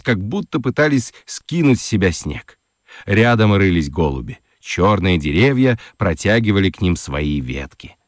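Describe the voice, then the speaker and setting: A man narrating a scripted children's radio story as an expressive storyteller. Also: lowish voice, no echo, clean studio sound.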